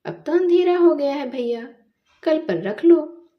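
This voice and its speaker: Woman voicing a meek village man, high-pitched and agreeable. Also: lively intonation, takes it slowly, highs cut off. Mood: meekly agreeable. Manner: simple, agreeable, deferential. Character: meek, good-natured village man, deferential childhood playmate. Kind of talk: Hindi storybook dialogue.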